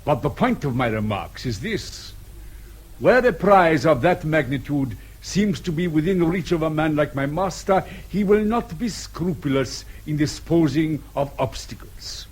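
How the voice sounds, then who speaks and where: Suave colonel, husky, courteous but scheming, measured formal phrasing, wide pitch movement, radio drama. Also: male voice, lively intonation. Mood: insinuating.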